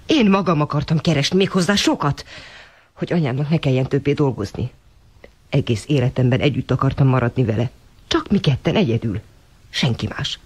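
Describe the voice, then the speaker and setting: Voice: mid-range voice, slightly rough. Polite serious kid, devoted son in a radio drama, voice goes up and down a lot. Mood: sad, confiding. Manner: polite, earnest, expressive. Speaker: actor in a radio play. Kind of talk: 1980 radio drama acting.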